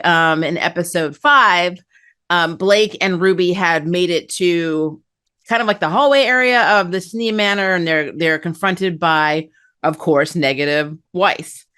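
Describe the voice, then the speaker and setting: A woman's voice: mid-range voice, a bit bright. A woman hosting a review show, talking through an episode in a casual, conversational and explanatory way. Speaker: host of an anime review show.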